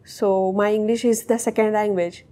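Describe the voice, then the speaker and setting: A woman's voice: mid-range voice, steady tone, moderate pace, warm tone. Hesitant young woman, Urdu native speaker. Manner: hesitant, searching for words. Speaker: interviewee, technical associate. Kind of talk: interview answers.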